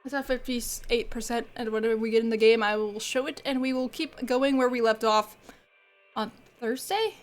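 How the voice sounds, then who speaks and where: Stuffed up girl rambling to her stream chat, kinda high voice, laughs a lot, soft music behind. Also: fairly even intonation, moderate pace. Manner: rambling, chatty, laughs often. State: stuffed up from a cold and allergies. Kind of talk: casual livestream chat with viewers.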